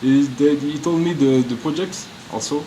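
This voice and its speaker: A man from France answering press questions in halting, searching English, restarting his sentences often. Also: on the high side, warm tone, slight background noise, low-quality recording. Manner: halting non-native English, frequent restarts. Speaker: professional footballer. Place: media room.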